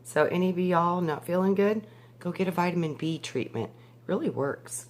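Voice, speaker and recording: A woman, hoarse from a cold, giving a slow, measured spiritual talk with many pauses. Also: lowish voice. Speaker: spiritual teacher.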